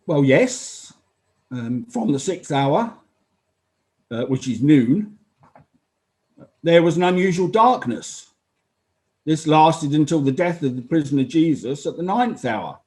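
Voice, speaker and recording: A man playing a sober, earnest Roman army officer giving halting, measured testimony in a dramatized inquest. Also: fairly high pitch, expressive, a little mellow. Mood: matter-of-fact. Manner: halting, measured, solemn witness delivery.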